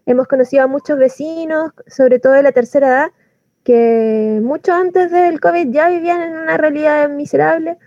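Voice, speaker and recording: A woman's voice: high voice, steady tone, moderate pace, mellow, no room sound. A woman with a Chilean accent giving an informal testimony as a community organizer, hesitant and repeating words as she explains. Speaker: community soup kitchen organizer. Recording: slightly dull, dry lo-fi recording.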